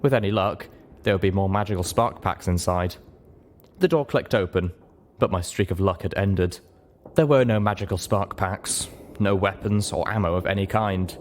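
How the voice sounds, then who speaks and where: A man with a low voice narrates a story in the first person, reading it as a dramatic audiobook. There is noticeable noise behind his voice. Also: moderate pace. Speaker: audiobook reader.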